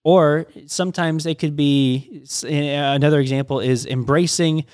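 A man's voice: a little high, fairly even intonation, darkish tone, dry recording, studio quality. A middle-aged man, a pastor, talking through ideas in a casual teaching conversation, with frequent restarts and stammers. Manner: halting, many restarts and stammers.

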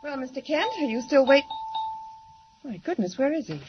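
An actress playing a proper, fussy hospital nurse in 1940s radio acting, prim and old-fashioned. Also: female voice, high-pitched, lively intonation, moderate pace, background noise. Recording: dull 1940s radio transcription.

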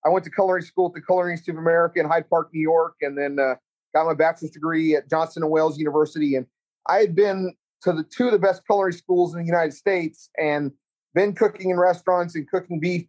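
A man's voice: a little high, steady tone, fast talker, darkish tone, highs cut off. A middle-aged man from Central Texas with a Texan accent, a culinary teacher and chef chatting earnestly in an interview, rambling with many restarts. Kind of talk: casual interview chat.

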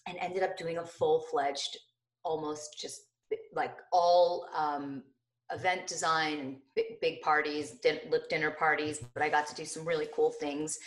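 A middle-aged woman with a hoarse, notably low voice, talking freely as a guest on an interview podcast. She rambles expressively, with frequent fillers and restarts. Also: unhurried, low-quality recording. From New Jersey, USA. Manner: chatty, expressive, many fillers. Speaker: guest, lifestyle blogger. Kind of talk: casual interview conversation.

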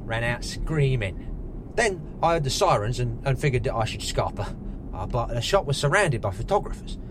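A man's voice: medium pitch, big pitch swings, moderate pace, lightly acted. Blustering fugitive butcher played by a man, car noise. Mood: boastful. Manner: colloquial, blunt, blustering. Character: brash fugitive cannibal butcher, cocky yet easily rattled. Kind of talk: audio drama acting. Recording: car and road noise behind.

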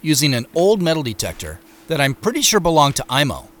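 A man's voice: fairly high pitch, huge pitch swings, quick pace, noisy background. An actor playing an earnest man recounting his investigation in a first-person audio drama monologue. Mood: reflective.

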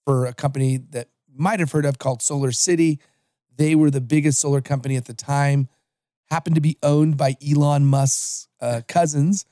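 A man's voice: fairly high pitch, mellow, dry recording, studio quality. A man in his forties from Los Angeles, a podcast guest telling his career story in an upbeat, conversational way with frequent restarts. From Los Angeles, USA. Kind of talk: conversational interview.

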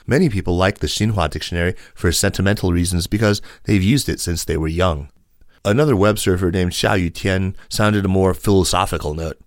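A man with a deep voice reads news articles in a measured, formal manner, leaning into the quoted lines. The recording is close and dry, like a scripted podcast narration. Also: no echo. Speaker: podcast host reading news stories.

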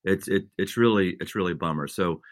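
Pastor guest, male, low and bright tone, dry close sound, preachy build-ups. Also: brisk, dry recording. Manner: builds to emphatic, repetitive preaching cadence. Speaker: senior pastor, guest author. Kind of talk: conversational interview, earnest and preachy.

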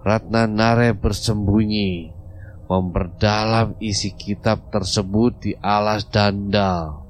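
A man's voice: lowish voice, fairly even intonation, a little hiss or hum. A man playing a grave old village mystic. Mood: solemn. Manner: slow, solemn counsel. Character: old grandfather mystic healer, calm and grave. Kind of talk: amateur audio drama acting.

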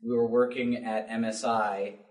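A man's voice: on the low side, little pitch movement, measured pace, a little mellow. A man speaking casually as a writer on a live panel. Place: public library event room. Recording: echoey room, distant mic.

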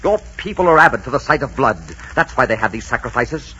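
Conquistador hero: very husky, swooping delivery, headstrong and impassioned, dull old radio recording. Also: male voice, mid-range voice, lively intonation, fast talker, pushed and bright, heavily acted. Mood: indignant, urgent. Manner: melodramatic, impassioned, emphatic. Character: passionate Spanish conquistador, headstrong romantic hero. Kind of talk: 1940s radio drama acting.

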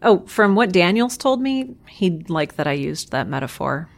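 A woman playing an earnest, defensive scientist in an audio drama, talking in a sincere, halting, wry way. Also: on the low side, big pitch swings, creaky. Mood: confessional. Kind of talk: audio drama acting, conversational.

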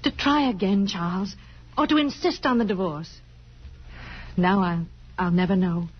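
An actress playing a grieving, self-doubting wife in a mid-century radio drama, with a hesitant, wistful delivery. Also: female voice, mid-range voice, moderate pace, close to an everyday voice. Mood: subdued, regretful. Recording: dull, slightly husky old radio recording.

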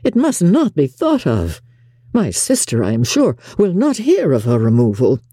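A narrator as an eager, impulsive young gentleman. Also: medium pitch, wildly swooping pitch, moderate pace, slightly rough, dry recording, clean studio sound. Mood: alarmed. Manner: emphatic, earnest. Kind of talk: audiobook character voice in a period novel.

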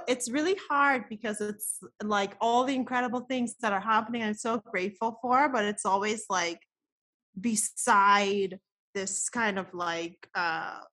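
A woman's voice: mid-range voice, sharp tone. A woman, chatting as a podcast guest, laughing often and filling her talk with casual fillers. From the Bahamas. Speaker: guest artist.

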